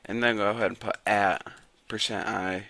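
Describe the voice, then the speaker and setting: A raspy-voiced young man casually teaching a programming lesson to viewers. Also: lowish voice, steady tone, normal speed, pushed and bright, no room sound. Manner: casual, chatty, talks to viewers as guys. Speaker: programming tutor. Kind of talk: casual screen-recorded coding tutorial.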